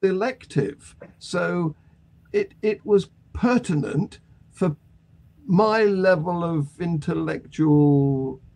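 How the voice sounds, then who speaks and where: An older British man leads a news discussion on a video call. His voice sits a little high for a man and has a soft, mellow tone. He phrases things deliberately and conversationally. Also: creaky. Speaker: panel discussion host. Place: small attic room at home.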